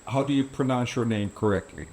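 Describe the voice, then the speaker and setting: A man in the interviewer's seat asks short, simple questions in an even voice. A faint hiss sits under the recording. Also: mid-range voice, a little fast, vocal fry. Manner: brief, plain questions. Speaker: podcast host and interviewer.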